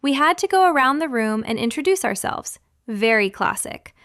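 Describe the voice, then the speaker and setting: A young woman from California co-hosting an English-learning podcast, speaking clearly like a teacher and laughing often. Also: high voice, animated, normal speed, a bit bright, no echo, clean studio sound. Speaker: podcast co-host.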